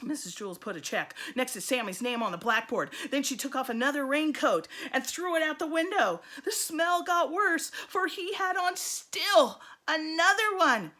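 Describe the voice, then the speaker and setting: A woman teacher reading a children's storybook aloud, expressively and with deliberate dramatic emphasis. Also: high voice, expressive, pushed and bright.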